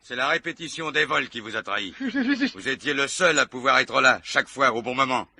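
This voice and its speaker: Sharp, gravelly, sardonic police commissioner. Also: male voice, mid-range voice, brisk, no echo, exaggerated voice. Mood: mocking, stern. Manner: mocking, emphatic, theatrical. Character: sardonic, triumphant male French police commissioner. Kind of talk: old French radio crime drama acting.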